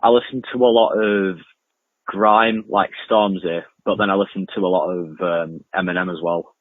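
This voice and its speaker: A man's voice: deep voice, fairly even intonation, moderate pace. A young man answering casually as a radio interview guest, hesitant and informal, often repeating himself. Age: young adult. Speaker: interview guest, amateur music producer and podcaster. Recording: muffled lo-fi, roomy echoing remote link.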